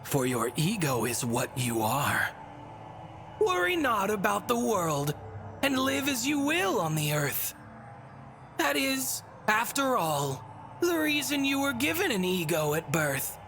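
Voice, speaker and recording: Game deity: bored, teasing, theatrical rhymer, very animated pitch, gravelly creak, music behind. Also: female voice, takes it slowly, full character voice. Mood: solemn. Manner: theatrical, teasing, rhyming riddles. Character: whimsical, bored, cryptic female god speaking in rhyme. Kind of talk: video game cutscene voice acting. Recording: music under the voice.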